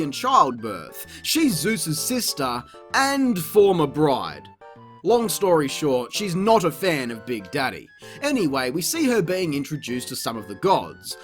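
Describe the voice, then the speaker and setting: A man's voice: fairly high pitch, fairly bright tone. A man narrating a scripted comedic review in a sarcastic, crude, mocking manner. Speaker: video reviewer.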